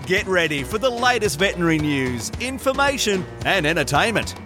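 Upbeat high-pitched male announcer over music. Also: very animated, fairly quick. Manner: upbeat, showy announcer delivery. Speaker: show announcer. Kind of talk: scripted intro announcement.

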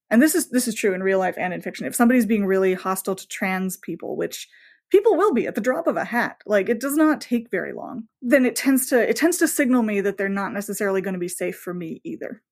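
Female podcast guest, mid-range voice, conversational, animated storyteller with nerdy enthusiasm. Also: medium pitch, quick pace. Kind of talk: casual interview conversation.

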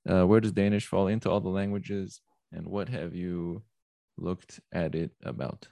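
Deep gravelly-voiced male host. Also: fairly even intonation, normal speed, mellow, no echo. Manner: hesitant, with frequent "uh"s. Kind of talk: interview questions.

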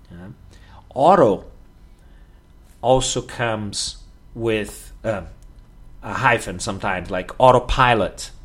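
Slow-talking man teaching English words. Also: medium pitch. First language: Portuguese. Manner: unhurried explaining, stretches example words, many fillers. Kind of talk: solo podcast lesson.

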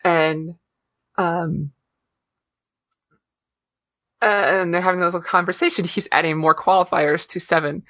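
A woman's voice: on the low side, steady tone, moderate pace, a bit bright, dry recording. A woman co-hosting a podcast, chatting casually, with frequent laughs, fillers and restarts. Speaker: podcast co-host. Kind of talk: casual chat.

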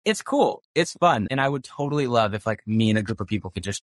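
A man co-writing a book, upbeat and quick to laugh in a casual interview. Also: on the low side, lively intonation, no echo, clean studio sound. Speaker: guest co-author.

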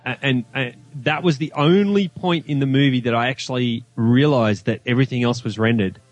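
A man's voice: medium pitch, fairly quick, faint music behind. A man from Australia speaking as a podcast guest, chatting casually as he gives a film review. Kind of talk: casual chat.

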